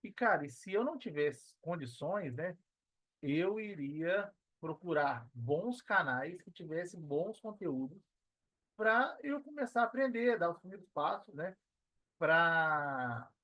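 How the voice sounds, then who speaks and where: A Brazilian man giving casual, reflective interview answers as a podcast guest, pausing as he thinks and often adding tag questions. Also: a little high, lively intonation, bright, forceful tone, no echo. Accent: Brazilian Portuguese. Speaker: podcast guest, affiliate marketer.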